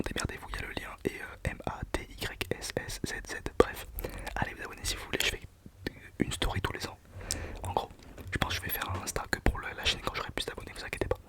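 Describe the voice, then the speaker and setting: A young man whispering a casual, self-mocking countdown of his favourite songs for his channel. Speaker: channel host. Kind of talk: whispered ASMR music countdown.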